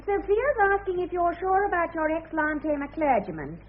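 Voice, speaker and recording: An actress playing a brisk, obliging secretary in a radio drama. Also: female voice, very high voice, very animated. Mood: businesslike. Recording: narrow old radio broadcast, faint noise.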